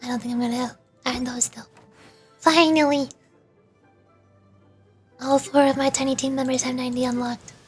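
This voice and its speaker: A woman's voice: high-pitched, flat delivery, moderate pace, long pauses. A woman doing casual live game commentary and chatting with viewers in a playful, self-deprecating way. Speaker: VTuber game streamer. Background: game music.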